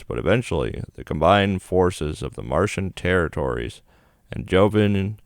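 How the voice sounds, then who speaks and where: Very deep-voiced man narrating a game. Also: clean tone, no room sound, clean studio sound. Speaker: gaming video host. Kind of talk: reading visual novel narration aloud.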